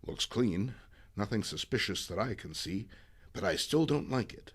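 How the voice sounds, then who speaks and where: Young photojournalist hero for a comic book reading, played by a man; watchful, understated, bright-voiced. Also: fairly low pitch, expressive, normal speed, husky, pitched below the performer's own voice. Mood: wary. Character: wary, deferential young male photojournalist superhero.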